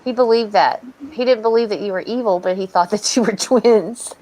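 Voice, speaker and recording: A woman's voice: medium pitch, normal speed, darkish tone, poor audio. A woman chatting casually on a video call stream, teasing the others and giggling. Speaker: panelist on a live stream. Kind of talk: casual group chat.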